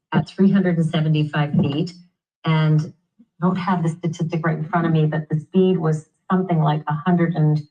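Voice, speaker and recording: A muffled, slightly distant male anchor conducts a live news interview. He asks questions in a halting, tentative way, pausing to recall details. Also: on the high side.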